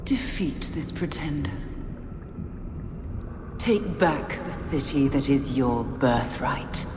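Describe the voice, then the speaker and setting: A woman's voice: very deep voice, lively intonation, slow, heavily acted. An actress playing a scheming, commanding female villain in a video game, speaking with cold deliberation. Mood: triumphant, commanding. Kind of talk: dramatic video game cutscene acting. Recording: echoey hall reverb, loud background noise.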